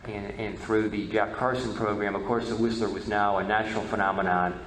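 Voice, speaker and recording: A man giving an informal history presentation, halting and often repeating words. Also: on the low side, fairly even intonation, a bit bright. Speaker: presenter and media researcher. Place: reverberant presentation hall. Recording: echoey hall, faint background noise.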